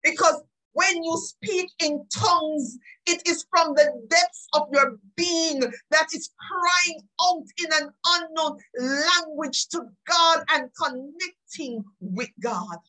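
A woman's voice: sits high, slow, bright, forceful tone, low-quality recording. A woman preacher delivering an impassioned live sermon, pleading and emotional, tearful, repeating phrases for emphasis.

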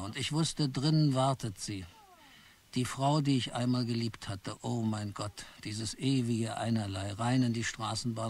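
Casting: a worn-down clerk narrating, brooding and bitter, mid-pitched. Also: male voice. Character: weary, put-upon office clerk Charlie. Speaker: narrator and main character. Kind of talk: first-person radio play narration.

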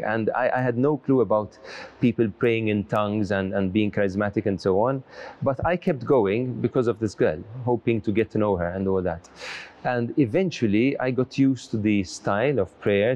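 A man's voice: on the low side, a little mellow, lo-fi sound. A middle-aged man from Malta, a priest, answering interview questions in an earnest, reflective way with frequent restarts, seated in a large church. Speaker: priest and lecturer.

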